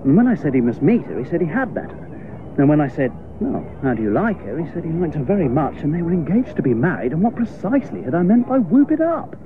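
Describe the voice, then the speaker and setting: An actor playing a breezy, chatty young upper-class English idler in a radio comedy, full of old-fashioned slangy exclamations. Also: male voice, fairly high pitch, sing-song, quick pace. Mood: flustered, confiding. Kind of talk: radio comedy drama acting. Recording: muffled, noisy radio play recording.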